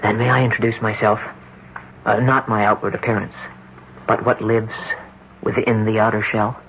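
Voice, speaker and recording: A man acting a desperate, brooding young patient in an old-time radio drama, earnest and deliberate in his delivery. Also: fairly low pitch, level tone, a bit bright. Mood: deliberate, challenging. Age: 20s. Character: haunted, desperate young man trapped in another's body. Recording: muffled, noisy old radio broadcast recording.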